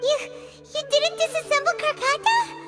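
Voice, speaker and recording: A woman voicing a tiny childlike fairy companion in a video game, squeaky, excitable and earnest, speaking of herself in the third person. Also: normal speed. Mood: confused. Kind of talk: video game character acting. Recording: music playing behind the voice.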